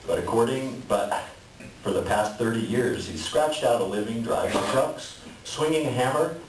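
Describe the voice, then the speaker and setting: A man's voice: mid-range voice, moderate pace. A man giving a chatty, wryly joking introduction to an audience as host of a play reading. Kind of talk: informal stage introduction to an audience. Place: library event room. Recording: echoey room, distant mic, clear background noise.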